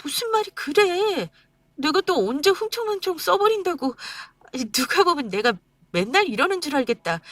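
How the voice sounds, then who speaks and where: Woman voicing a wounded wife on the verge of tears, high-ish and pleading. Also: a bit bright, no echo. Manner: tearful, indignant, voice breaking high. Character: hurt young wife pleading and arguing back.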